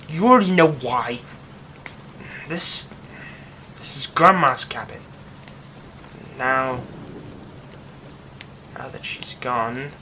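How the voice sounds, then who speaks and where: A man voicing a secretive young man who hides a werewolf curse, in an ASMR roleplay drama. He speaks in a hushed voice that often drops to a whisper. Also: mid-range voice, low-quality recording. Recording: steady rain noise behind the voice.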